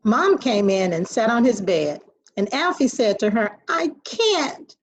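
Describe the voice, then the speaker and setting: Animated higher-voiced woman reading a storybook. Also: unhurried, slightly rough, no echo. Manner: expressive storytelling. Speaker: teacher reading aloud to children. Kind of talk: children's picture book read-aloud. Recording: dry, dull lo-fi audio.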